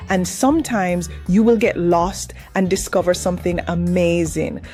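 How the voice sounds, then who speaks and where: A woman's voice: medium pitch, dark tone. A Jamaican woman, host of a travel channel, narrating a scripted piece in an upbeat, rhythmic way as she lists what her island offers. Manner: upbeat, rhythmic listing delivery.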